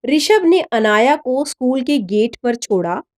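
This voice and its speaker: A woman reading a scripted story in an expressive, dramatic narrator's manner. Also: high voice, slow. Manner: expressive, voices the dialogue of characters.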